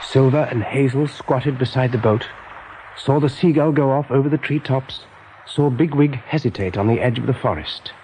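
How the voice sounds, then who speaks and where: A man narrating an animal adventure as a calm storyteller in a radio play. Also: medium pitch, normal speed, noisy background, close to an everyday voice. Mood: grave, measured.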